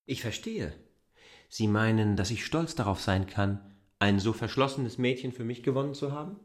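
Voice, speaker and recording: An actor playing a courteous, probing land surveyor in a radio play. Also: male voice, medium pitch, moderate pace, lightly acted.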